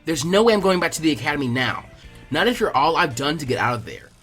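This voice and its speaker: A man's voice: fairly high pitch, very animated, fast, fairly bright tone. A man voicing a feisty, bossy and anxious teenage ninja girl with emphatic drama in a story reading. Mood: determined. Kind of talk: animated fan fiction character reading. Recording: music behind the voice.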